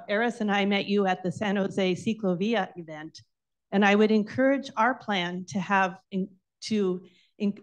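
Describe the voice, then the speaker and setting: A woman speaking as a public commenter at a city meeting. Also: medium pitch. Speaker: public commenter, bicycle coalition president. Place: indoor public meeting room.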